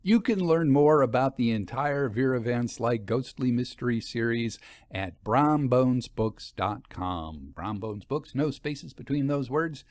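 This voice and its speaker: A male storyteller addressing listeners in a chatty, scripted style. His medium-pitched voice moves around expressively, and the recording is very dry and free of room sound. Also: lively intonation, a little slow, darkish tone, no echo. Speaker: storyteller, host and author. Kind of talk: scripted narration and conversational outro.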